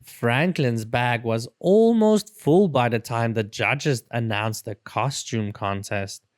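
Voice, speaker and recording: A storyteller reading a children's book aloud in an expressive, dramatic and deliberate way. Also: medium pitch, dry recording, clean studio sound. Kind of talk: expressive read-aloud narration.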